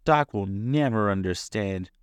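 A man voicing an excitable, anxious big furry dog in a storybook, with barking, urgent exclamations. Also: lowish voice, measured pace, clean tone, dry recording. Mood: frustrated.

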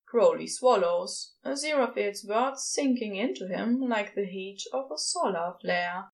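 Woman, story narrator, scripted fiction reading, slow pace, lively intonation. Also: medium pitch. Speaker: podfic reader, narrator.

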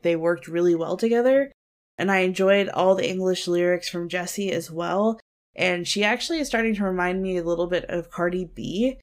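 A woman chats with her co-host, her voice slightly low and nasal. She talks bluntly and swears freely, with frequent giggles. She talks into a home recording mic. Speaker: podcast co-host. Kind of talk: casual chat.

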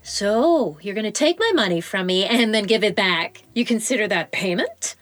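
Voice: mid-range voice, animated, moderate pace, a bit bright, dry recording, faint music behind, natural voice. A performer playing a mocking, menacing underworld card-game boss in an audio drama. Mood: mocking menace.

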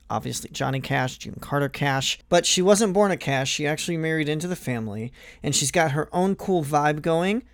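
A man's voice: fairly high pitch, smooth, no room sound. A man co-hosting a podcast, easygoing and upbeat, speaking close to the mic. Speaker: podcast co-host. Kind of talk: casual chat.

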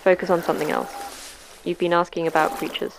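A woman's voice: on the low side, level tone, quick pace, gravelly, noisy background. A woman playing a terse, battle-worn veteran in an audio drama, firm and steadying in manner. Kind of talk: audio fiction acting.

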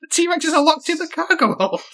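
A man co-hosting a podcast, animated and quick to laugh, swearing freely in casual chat. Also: very high-pitched. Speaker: podcast co-host.

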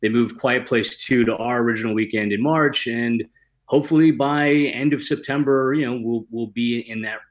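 A man's voice: medium pitch, fairly even intonation, normal speed. A man talking casually as a guest screenwriter, slangy and given to self-deprecating humour. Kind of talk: casual chat.

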